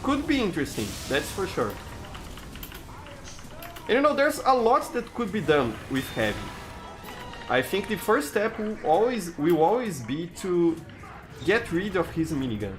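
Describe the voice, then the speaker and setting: A man's voice: sits high, very animated, unhurried, long pauses, a bit bright, faint music behind. A young man from Brazil with a Brazilian Portuguese accent, streaming live gameplay and chatting casually with his chat, full of restarts and "you know".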